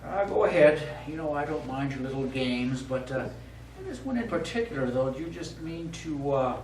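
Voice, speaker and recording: Man playing a wry, halting husband, echoey stage. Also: fairly high pitch, expressive, darkish tone, distant mic, lightly acted. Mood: wryly indulgent. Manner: hesitant, dry, halting. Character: wry, weary, wealthy husband in poor health. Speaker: stage actor. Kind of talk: live stage play acting. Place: small theatre studio, recorded from the audience. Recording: echoey stage recording from afar, faint noise.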